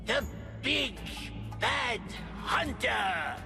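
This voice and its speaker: Casting: menacing monster for a game, high, croaking and very slow. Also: female voice, animated, heavily acted. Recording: music behind.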